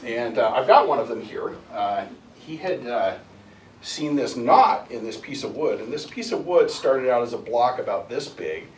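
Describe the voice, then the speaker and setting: A man in his fifties giving a halting, earnest storytelling talk as a guest speaker at a spiritual center. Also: medium pitch, expressive, slight background noise. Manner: halting, many restarts and fillers, earnest. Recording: echoey room, mic at a distance, faint ambience.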